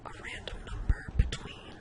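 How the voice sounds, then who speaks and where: Someone whispering an informal ASMR-style spreadsheet tutorial, thinking aloud while demonstrating each step. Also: moderate pace.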